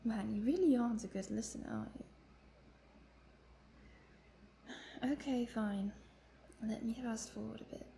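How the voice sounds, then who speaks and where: A woman's voice: a little high, a little fast, vocal fry, warm tone, a little hiss or hum. A woman playing a chatty, playful vampire prisoner who teases and tells her woes theatrically in a roleplay monologue. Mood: teasing, impatient. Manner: playful, talkative, teasing, theatrical. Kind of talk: dramatic roleplay monologue addressed to the listener.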